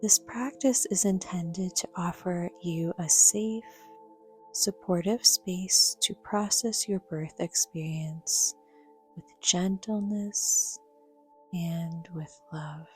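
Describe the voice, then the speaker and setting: A woman speaks very slowly in a fairly low, soft voice that often drops close to a whisper. She guides a meditation gently and soothingly, leaving measured pauses between phrases. Faint music plays behind her. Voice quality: soft, breathy, half-whispered. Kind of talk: guided yoga nidra meditation, soothing narration.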